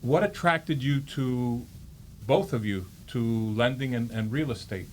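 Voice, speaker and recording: A man's voice: medium pitch, expressive, a little slow, a little hiss or hum. A male host of a business interview who talks in a friendly way and jokes with his guests. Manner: friendly, joking.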